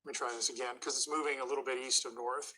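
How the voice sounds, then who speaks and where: Bright, forceful male TV weather forecaster. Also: medium pitch, steady tone, fast talker, a little hiss or hum. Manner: urgent, explanatory, place by place. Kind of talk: live severe weather commentary.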